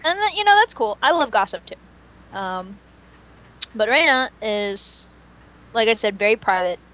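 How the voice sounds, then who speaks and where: A woman co-hosting a casual fan podcast, talking in an animated, slangy way and swearing casually. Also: medium pitch, a little slow, lo-fi sound. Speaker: podcast co-host.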